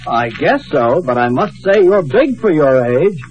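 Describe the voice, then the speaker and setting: A courteous government clerk in a 1950s radio comedy. His voice is a bit high, with dry amusement under the official politeness. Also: sing-song, unhurried, muffled recording, over loud music. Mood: polite, amused. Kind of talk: 1950s radio sitcom acting.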